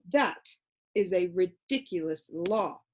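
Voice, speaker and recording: A woman's voice: on the low side, animated, slow. A woman voicing a worried young boy who asks earnest, puzzled questions in an audiobook. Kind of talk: audiobook character voice.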